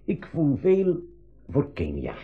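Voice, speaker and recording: A man's voice: a little high, wildly swooping pitch, slow pace, husky, faint music behind, full character voice. A man playing a genial, decisive older uncle and professor in a 1980s school radio play. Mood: genial, inviting. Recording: dull lo-fi radio recording.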